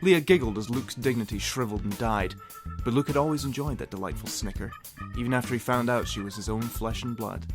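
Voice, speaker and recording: A man's voice: mid-range voice, a little fast. A man narrating comedic fan fiction in a mock-serious, theatrical way with wry asides. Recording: music behind the voice. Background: music.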